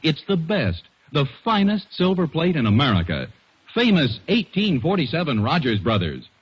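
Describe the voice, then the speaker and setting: A man's voice: on the high side, wildly swooping pitch, normal speed, noisy background. A man announcing on 1940s radio, with an emphatic, polished salesman's delivery. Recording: dull 1940s radio recording, faint music.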